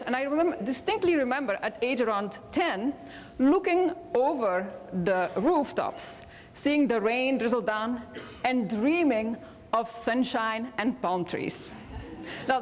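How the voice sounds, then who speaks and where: A woman from Belgium with a Belgian accent, telling personal stories as a convention speaker, with small hesitations. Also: fairly high pitch. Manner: warm anecdotal storytelling with hesitations. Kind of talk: motivational keynote storytelling. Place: large convention hall. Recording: reverberant convention hall.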